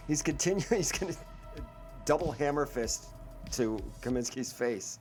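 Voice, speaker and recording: Female player with a very deep voice, unhurried and halting, casual game-table talk. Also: lively intonation, a little mellow, faint music behind.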